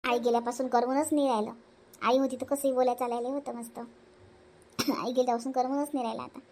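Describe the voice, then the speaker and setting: Dutiful, conciliatory eldest sister-in-law for a family cartoon, played by a woman: high, brisk, hesitant delivery, Varhadi Marathi accent. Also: little pitch movement, darkish tone. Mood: wistful, musing. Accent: Varhadi Marathi from Vidarbha. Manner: conciliatory, hesitant, self-sacrificing. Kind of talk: homely family cartoon voice acting.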